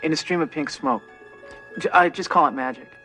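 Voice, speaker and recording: An actor playing an awkward, lovesick young man in a fairy-tale drama, halting and boyishly earnest. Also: on the high side, pushed and bright. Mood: flustered. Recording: music playing under dialogue.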